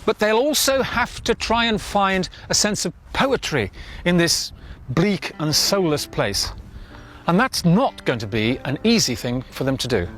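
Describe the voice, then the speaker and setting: A man teaching painting and presenting outdoors on a windswept coast, explaining at length with drawn-out emphasis. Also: high voice, big pitch swings, fairly bright tone.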